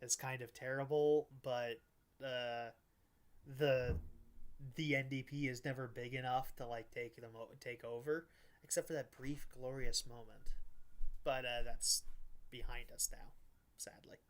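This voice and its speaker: A man hosting a casual political podcast, talking in a halting, rambling way with lots of filler words and some swearing. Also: mid-range voice, fairly even intonation, smooth. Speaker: podcast host.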